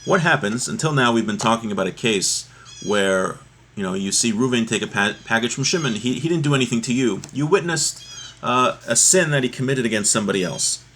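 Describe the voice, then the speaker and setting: A man's voice: mid-range voice, a bit bright, a little hiss or hum. A man giving an informal class as a religious teacher, reading from a text and explaining it conversationally, restating points in plain everyday terms. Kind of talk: informal text study, reading aloud and explaining to a livestream audience.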